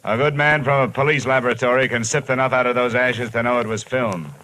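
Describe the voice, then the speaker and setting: An actor playing a wry, hard-boiled insurance investigator in a mid-century radio detective drama. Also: male voice, medium pitch, steady tone, quick pace, sharp tone, lightly acted. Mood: tough, threatening. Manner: sardonic, tough, wisecracking. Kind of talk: 1950s radio detective acting, first-person narration and dialogue. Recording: noisy vintage radio recording.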